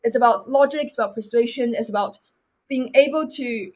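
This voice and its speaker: Young woman from china, english is her second language, kinda high voice, earnest explainer on a podcast. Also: a little fast, darkish tone. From mainland China. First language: Chinese. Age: young adult. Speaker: podcast guest, debater. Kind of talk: reflective interview talk with advice.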